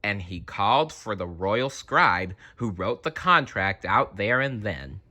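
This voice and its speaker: A man reading a children's storybook aloud in an expressive, dramatic manner. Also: lowish voice, measured pace.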